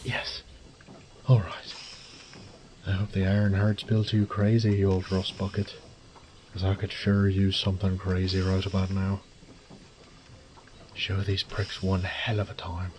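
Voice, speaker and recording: A man playing a wry, resourceful thief in an audio drama, muttering gruffly and cursing to himself. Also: sits low, monotone, a little slow, long pauses, a little mellow, a little hiss or hum, poor audio. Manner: gruff, sardonic, swearing under his breath. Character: wry, foul-mouthed adult male thief, resourceful under pressure.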